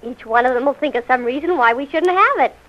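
A dull vintage radio recording of a young daughter with a high voice. She is emphatic and self-assured, bickering and scheming her way through a family comedy. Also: animated, fast. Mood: determined, scheming. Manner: emphatic, complaining. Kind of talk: old-time radio comedy serial acting.

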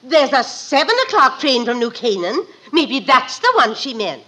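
Querulous station clerk, high and bright. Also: female voice, very animated, normal speed, slightly rough, exaggerated voice. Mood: dithering. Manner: dithering, querulous. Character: muddled, grumpy female train station information clerk. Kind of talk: 1950s radio comedy acting. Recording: noisy vintage radio recording.

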